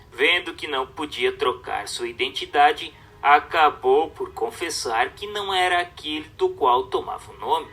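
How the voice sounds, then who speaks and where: A Brazilian man narrates in a crisp, forward, bright voice that sits a little high. He reads formally and with measured, instructive phrasing, as from a religious book. Faint music plays behind him. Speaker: narrator reading a book aloud. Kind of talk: scripted narration of a religious treatise.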